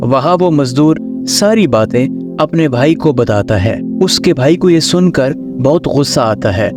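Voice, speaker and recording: A man's voice: medium pitch, lively intonation, unhurried. A man reading scripted narration of a children's story as the storyteller. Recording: music under the voice.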